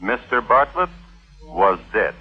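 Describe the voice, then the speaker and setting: A muffled old radio recording with music behind a solemn crime historian. He narrates drolly and deliberately, with dry theatrical pauses and a very lively, swooping pitch. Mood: wry, solemn. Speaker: host and narrator.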